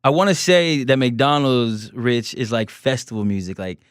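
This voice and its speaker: A man hosting a casual podcast interview, asking eager, relaxed questions with slang. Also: medium pitch, moderate pace, clean tone, dry recording, studio quality. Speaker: podcast host.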